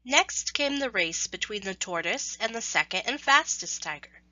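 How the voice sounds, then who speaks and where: A woman reads a children's story aloud in scripted narration, with expressive, deliberate phrasing. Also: mid-range voice, no room sound, dull audio.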